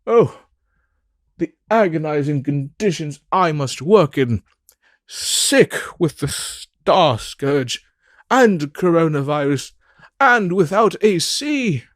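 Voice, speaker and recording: A man acts out a smug, flamboyant villain for an audio drama. He drawls at an unhurried pace, swooping widely in pitch with theatrical, mock-aggrieved flourishes. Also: expressive. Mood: mock indignation. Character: flamboyant, smug, theatrical male villain scheming world destruction. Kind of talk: hobby audio drama acting with in-character outtake banter.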